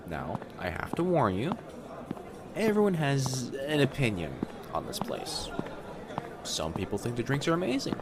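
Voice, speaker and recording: A man playing a friendly, attentive young kitsune college student in an audio roleplay, speaking directly to the listener with soft teasing and hesitant pauses. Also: fairly low pitch, noisy background, full character voice. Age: young adult. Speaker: campus guide to a new student. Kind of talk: scripted boyfriend-style audio roleplay.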